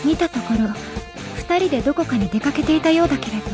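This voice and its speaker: A teenage girl from an anime-style visual novel. She is cool and composed, choosing polite words with a sharp edge, while guitar music plays clearly underneath. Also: high voice, expressive, racing. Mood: cool, probing. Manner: polite, formal, pointed phrasing. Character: cool, poised, sharp-tongued teenage schoolgirl. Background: guitar game music.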